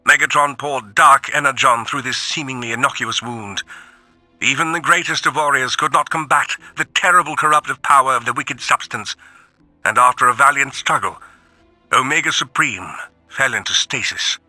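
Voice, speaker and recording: A man's voice: mid-range voice, moderate pace, pushed and bright, dry recording, soft background music, low-quality recording. A man voicing a grave, formal robot chronicler in a dramatic video game audio log. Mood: solemn.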